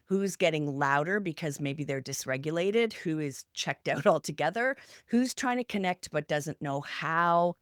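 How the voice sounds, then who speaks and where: A woman's voice: lowish voice, no room sound, studio quality. A woman co-hosting a podcast in a conversational, advice-giving style. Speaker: podcast co-host.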